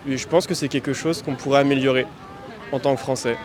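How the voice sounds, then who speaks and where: A man from France answers a street interviewer earnestly at a mid pitch, pausing to find his words. He is recorded outdoors on a city street. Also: fairly even intonation, a little hiss or hum. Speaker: passer-by.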